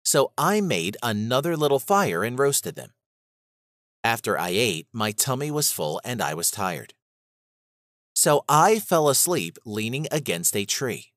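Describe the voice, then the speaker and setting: Animated man narrating a children's storybook. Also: mid-range voice, a little slow, no room sound, clean studio sound. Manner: expressive read-aloud.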